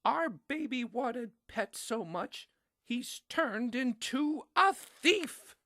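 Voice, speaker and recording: A man's voice: very high voice, expressive, extremely slow, bright, forceful tone, no room sound, clean studio sound, pitched above the performer's own voice, full character voice. A man voicing a concerned, exclaiming mother in a children's storybook, emphatic and dramatic. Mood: dismayed.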